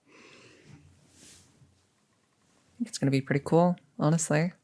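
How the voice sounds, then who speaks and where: A woman's voice: sits low, steady tone, rapid-fire, dark tone, dry recording. A woman playing a chatty, upbeat girlfriend in an intimate ASMR roleplay, rambling casually and musing with hesitations. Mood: musing, hopeful. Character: chatty, upbeat girlfriend about to become a vampire.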